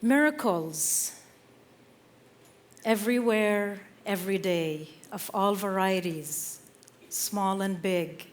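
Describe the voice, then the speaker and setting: A woman from Lebanon giving an inspirational stage talk, slow and measured with dramatic pauses between phrases. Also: mid-range voice. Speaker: speaker. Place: theater stage.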